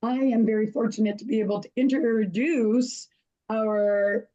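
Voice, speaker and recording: A woman with a hoarse voice hosting an online show, chatty and casual, often losing her place and starting over. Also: on the high side, steady tone, measured pace, warm tone, muffled recording. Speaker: host.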